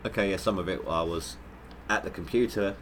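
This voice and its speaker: Deep-voiced guy streaming a game, stuffy nasal cold, rambles and restarts, bit of hiss. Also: a little fast. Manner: rambling, stop-start, self-mocking. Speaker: gaming livestreamer. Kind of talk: casual gameplay commentary.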